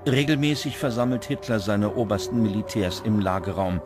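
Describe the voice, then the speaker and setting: A man reading scripted documentary narration in a measured, dramatic manner. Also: on the low side. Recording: music under the voice. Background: background music.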